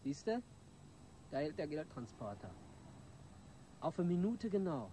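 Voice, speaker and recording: A man's voice: a little high, sing-song, unhurried, dark tone. Bossy male robber over noisy background. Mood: cool, commanding. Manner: colloquial, instructing, businesslike. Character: cool, bossy male robber planning a heist. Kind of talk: radio crime drama acting.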